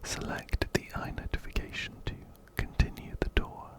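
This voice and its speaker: A man narrating a video game in a slow, breathy ASMR whisper, very close to a binaural mic, softly repeating words. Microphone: close-up binaural microphone, ear to ear.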